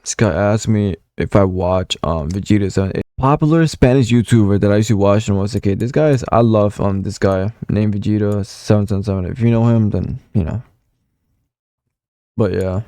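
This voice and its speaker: Rambling young man, dark and dry. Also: lowish voice, moderate pace, no room sound. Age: young adult. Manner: rambling, filler-heavy, often swears. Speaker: gaming vlogger. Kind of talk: casual reminiscing commentary.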